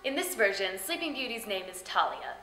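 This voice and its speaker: A woman's voice: medium pitch, sing-song, a little fast, bright, forceful tone. A woman hosting with scripted comic narration in a theatrical, tongue-in-cheek manner. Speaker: host and storyteller. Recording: roomy echo, faint music behind.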